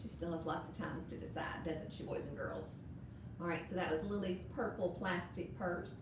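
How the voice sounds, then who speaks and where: A woman reading a children's picture book aloud as an expressive storyteller for young listeners. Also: fairly low pitch, moderate pace. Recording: very echoey room, distant mic, noise.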